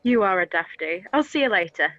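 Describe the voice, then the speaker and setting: A woman's voice: mid-range voice, lively intonation, brisk, fairly bright tone, no room sound, muffled recording, close to an everyday voice. A woman playing a cheery, slightly dim waitress in a comic radio play. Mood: chatty.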